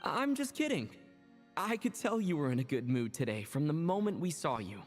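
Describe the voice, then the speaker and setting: A kindly young traveler, played by a voice actor, speaks in an easygoing, mid-range voice. The delivery is calm and relaxed. It sounds like video game dialogue, with reverb and music behind. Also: mid-range voice, moderate pace, natural voice. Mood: calm, friendly.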